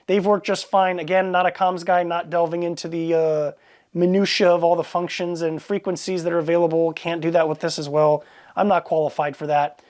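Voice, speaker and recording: A man's voice: fairly high pitch, level tone, a little fast, darkish tone. A man giving a casual, unscripted product review in a plainspoken, conversational, matter-of-fact way.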